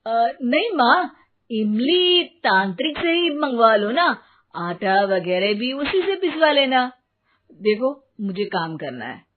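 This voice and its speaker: A woman's voice: fairly high pitch, sing-song, takes it slowly, exaggerated voice. A woman voicing a skeptical, teasing adult son in a story, dismissive and joking, on a tinny recording. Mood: reluctant. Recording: tinny phone-like sound with faint noise.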